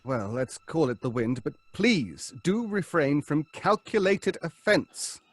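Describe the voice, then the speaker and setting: An actor playing an earnest, anxious séance leader in an old-style radio horror drama, formal and hesitant. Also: male voice, a little high, big pitch swings, slow pace, breathy edge, dry recording, heavily acted. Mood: reproving, solemn.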